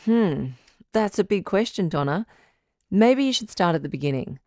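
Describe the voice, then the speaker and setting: A woman playing a composed, frank relationship doctor who hosts a radio call-in drama in a measured, counselling way. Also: mid-range voice, expressive, mellow, no room sound, clean studio sound, close to an everyday voice. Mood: welcoming, attentive. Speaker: radio advice show host.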